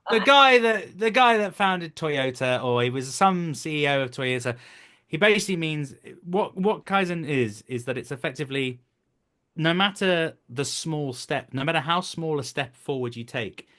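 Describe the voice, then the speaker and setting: A man's voice: on the high side, expressive, normal speed, sharp tone. A man in his thirties speaking as a voice acting coach in a conversational interview, explaining things earnestly. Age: 30s. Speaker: voice actor, director and coach.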